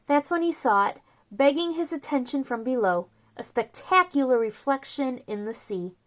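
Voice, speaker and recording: A woman reading a children's picture book aloud with theatrical, drawn-out emphasis on key words. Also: sits high, expressive. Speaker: children's storyteller, host.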